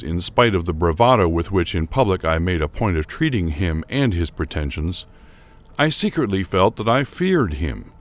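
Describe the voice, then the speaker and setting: Male narrator for gothic horror audio drama: lower register, sweeping intonation, formal and measured literary delivery.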